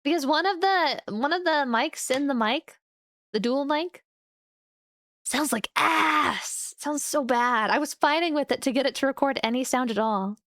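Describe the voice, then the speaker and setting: A high-pitched young woman talking to her stream in a relaxed, chatty way, laughing easily between thoughts. The sound is close and dry, with no room around it. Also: unhurried, dry recording. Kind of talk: casual chat with stream viewers. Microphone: studio microphone.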